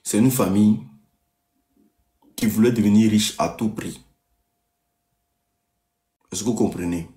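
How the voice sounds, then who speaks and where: A man telling a story on a live stream, deliberately repeating his sentences and checking that listeners follow. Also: fairly low pitch, warm tone. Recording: echoey live-stream room sound.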